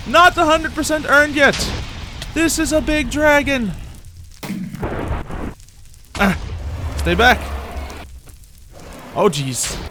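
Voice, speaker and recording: A man commentating on his own video game play, thinking aloud in an animated way with playful exclamations. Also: high-pitched. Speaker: gaming YouTuber playing a Minecraft roleplay series. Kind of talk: gameplay commentary. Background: background music.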